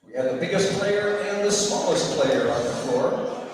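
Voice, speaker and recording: A man's voice: mid-range voice, animated, normal speed. A man presenting awards as an announcer over a gym public address system. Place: large indoor gym, heard over PA. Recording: very echoey gym PA, faint noise.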